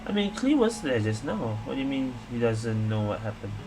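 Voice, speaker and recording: A man's voice: mid-range voice, very animated, a little fast, low-quality recording. A man giving casual game playthrough commentary as a streamer, reacting and thinking aloud. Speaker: gaming streamer. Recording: loud game music behind.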